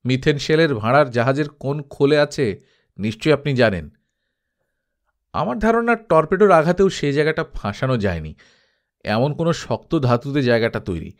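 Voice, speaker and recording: A man's voice: mid-range voice, sing-song, moderate pace, lightly acted. A man voicing a brash, hot-tempered male adventurer, grumbling and defiant, in a dramatised audiobook. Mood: taunting.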